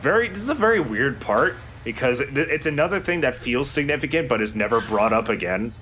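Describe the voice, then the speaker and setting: A man's voice: medium pitch, expressive, brisk, fairly bright tone, slight background noise. A man giving casual, explanatory game commentary as a let's play host. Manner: explanatory, conversational. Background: game music.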